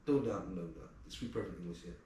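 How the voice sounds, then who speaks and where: Man, host, quick and animated, very echoey room, a little distant from the mic. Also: sits low. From Liberia. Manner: animated, talks over the guest, repeats himself. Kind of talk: casual interview chat.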